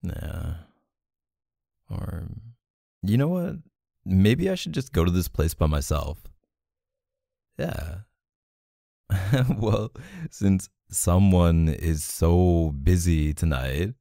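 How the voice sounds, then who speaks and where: A man's voice: low voice, animated, takes it slowly, vocal fry, pauses often, dark tone, no echo, studio quality, exaggerated voice. A man playing a possessive, sulky, protective young boyfriend in an intimate ASMR roleplay, murmuring hesitantly. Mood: playful sulking. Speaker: roleplay voice actor.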